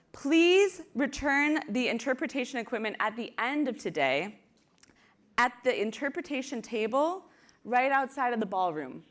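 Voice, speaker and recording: A woman's voice: high-pitched, a bit bright. A woman hosts a live convention in a ballroom, hyping the crowd and reading out announcements in an upbeat way. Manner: upbeat, hypes the crowd, laughs easily. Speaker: convention organizer and host. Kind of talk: live event hosting and announcements. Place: large ballroom.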